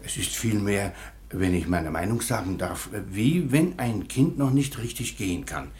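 Deep-voiced, deferential shoemaker. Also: male voice, animated, measured pace, a little mellow. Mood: eager, deferential. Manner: obsequious, formal, insistent. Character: deferential yet opinionated male master shoemaker, eager witness. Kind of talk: radio play acting.

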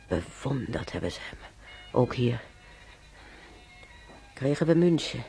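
An actor playing an ailing older man in hospital, musing haltingly and bitterly in a naturalistic radio drama. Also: medium pitch, vocal fry, mellow. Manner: halting, musing, repeats himself. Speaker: hospital patient.

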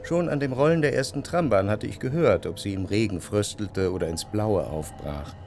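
Measured, introspective male narrator. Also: on the low side, animated, a little mellow, slight background noise. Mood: dreamy, reflective. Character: reflective, melancholic male narrator recalling his past life. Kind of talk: literary radio drama narration.

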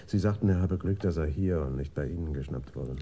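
Deferential policeman, radio crime drama: formal, courteous, deep and extremely dark voice, slight background ambience. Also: brisk, slight background noise. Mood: reporting calmly. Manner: polite, formal reporting. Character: courteous male Amsterdam police officer, deferential, reporting.

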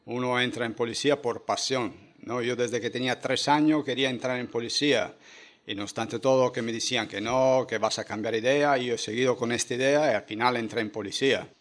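A man's voice: mid-range voice, fairly even intonation, fairly quick. A middle-aged man from Italy who speaks Spanish with an Italian accent, answering questions earnestly as a former police officer guest on local radio. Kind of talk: casual radio interview answers. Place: radio studio.

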